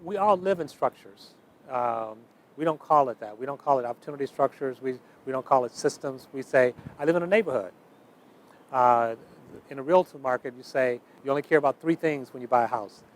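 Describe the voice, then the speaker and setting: A man with a mid-pitched voice talks as an interview subject, explaining ideas with emphasis. He builds his points by repeating phrases in measured lists. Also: slight background noise. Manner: emphatic, uses repetition in lists. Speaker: interviewed speaker. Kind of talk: explanatory talk in a documentary interview.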